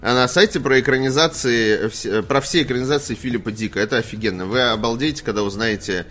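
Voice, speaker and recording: A man's voice: medium pitch, fast, fairly bright tone. A man hosting a games news stream, talking casually in a slangy, opinionated, rambling way. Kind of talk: casual live stream chat.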